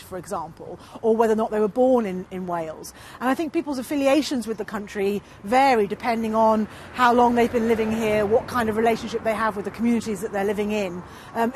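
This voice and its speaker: A woman's voice: fairly high pitch, slight background noise. A woman expert giving a thoughtful, analytical interview answer.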